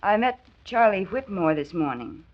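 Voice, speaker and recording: A woman's voice: mid-range voice, very animated, normal speed. An actress playing an earnest, caring, slightly hesitant female assistant in a 1940s radio drama. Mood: worried. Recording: muffled old 1940s radio recording.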